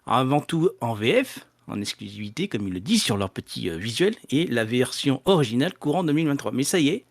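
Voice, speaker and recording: A man's voice: mid-range voice, sing-song, a little fast, gravelly, dry recording. A man casually announcing news as a columnist on a chat show.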